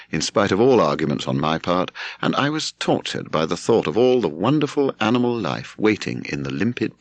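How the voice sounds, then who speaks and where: A man with a British English accent reading a literary memoir as an audiobook narrator, in a measured, expressive storytelling manner. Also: deep voice, no echo.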